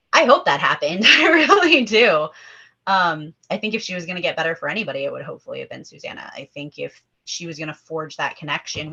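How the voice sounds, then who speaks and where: Casual panelist, woman, low-pitched, emphatic and opinionated, dull lo-fi recording. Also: pushed and bright. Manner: emphatic, opinionated, talking in numbered points. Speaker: table read performer. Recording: dull, lo-fi audio.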